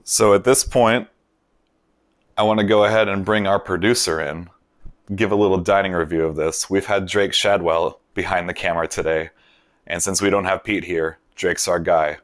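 A man hosting a cooking show, talking through a steak recipe step by step in a relaxed way. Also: low-pitched, normal speed, no room sound, studio quality. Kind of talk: voice-over cooking instructions. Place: kitchen in a vacation villa.

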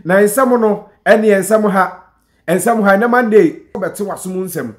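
A man's voice: sits high, slow. A Ghanaian man, a Twi speaker, giving chatty news commentary from a room to a webcam. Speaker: online news presenter. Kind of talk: casual commentary. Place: indoor room.

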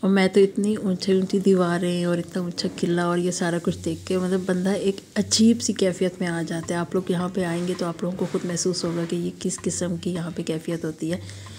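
A hoarse woman from Pakistan with a sore throat, narrating a casual, admiring walking tour for her vlog. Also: lowish voice, flat delivery, moderate pace, mellow, a little hiss or hum. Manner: chatty, admiring, guiding viewers along. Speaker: travel vlogger.

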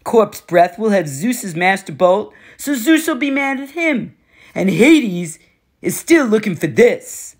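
A boastful male god of war with a taunting, cocky way of talking, as a woman reader puts him on. He sounds loud and pleased with himself, his pitch leaping around a lot. It is an audiobook character voice. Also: big pitch swings, slow, exaggerated voice. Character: smug, menacing adult male god of war.

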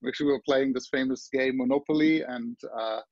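A middle-aged man from Germany with a German accent, speaking casually and haltingly in a video-call Q&A. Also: mid-range voice, fairly even intonation, a little mellow, no echo. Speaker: company co-founder. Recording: slightly dull video-call audio.

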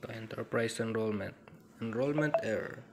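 A man's voice: fairly low pitch, normal speed, over a noisy crowd. A man with a Filipino accent explaining casually as he goes. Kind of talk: casual explanation mixing Tagalog and English. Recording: noisy background ambience.

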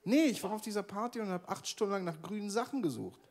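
Berlin guy doing standup into a handheld mic, voice swings all over, big theater stage. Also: fast. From Germany. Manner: animated, jumps between deep mock voices and high shrieks. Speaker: stand-up comedian. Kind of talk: stand-up comedy set.

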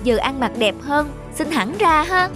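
Woman voicing a high, gossipy young coworker. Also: animated, unhurried, over music. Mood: teasing. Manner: gossipy, playful. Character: chatty, teasing young female coworker. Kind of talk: cartoon dubbing.

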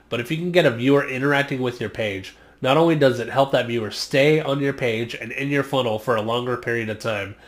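A man in his thirties talks straight to camera in a mid-range voice, reading a prepared explainer in a steady, earnest way. He records in a small home studio on a condenser microphone, so the sound is close and clean. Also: mid-range voice. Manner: measured, earnest, read-from-script delivery. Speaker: internet marketing vlogger. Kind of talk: scripted explainer monologue. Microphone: studio condenser mic on stand, just off frame.

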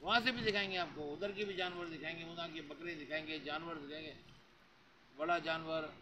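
A middle-aged man with a high voice, chatting to camera in an outdoor cattle yard. He repeats himself and strings together pious interjections as he pitches. The sound is a noisy outdoor phone recording with a small hand-held mic. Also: steady tone, fairly quick. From Pakistan. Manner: chatty, repetitive, frequent religious interjections. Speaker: cattle farm vlogger. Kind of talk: casual selling pitch to camera. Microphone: handheld lavalier mic held near mouth.

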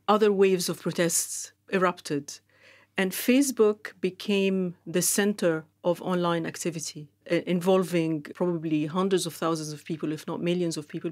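A woman from Egypt, a media monitoring analyst, giving an expert explanation with frequent fillers. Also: fairly low pitch.

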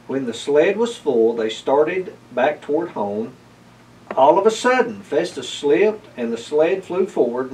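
Middle aged guy reading a kids story, takes it slow, mic sounds far. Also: a little high, darkish tone, slight background noise. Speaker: library board chair reading aloud. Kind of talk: scripted storybook narration. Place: indoors, library room with bookshelves.

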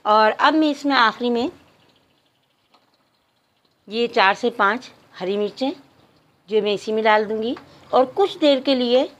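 A woman's voice: fairly high pitch, measured pace, long pauses, fairly bright tone, lo-fi sound. A woman narrating a cooking tutorial step by step, addressing viewers politely. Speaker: cooking channel host.

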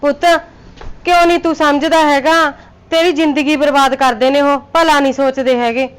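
A woman's voice: high voice, fairly even intonation, normal speed, close to an everyday voice. A woman playing a remorseful mother-in-law who pleads with her son's wife and tries to soothe her. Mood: worried. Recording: faint music behind.

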